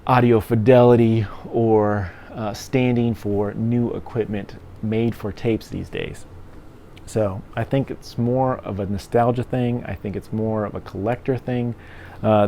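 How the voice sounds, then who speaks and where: Male vlogger, middle-aged. Very mellow, lowish voice, loose rambling delivery, noticeable background noise. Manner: rambling, conversational. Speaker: music gear reviewer and vlogger. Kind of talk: casual opinion vlog.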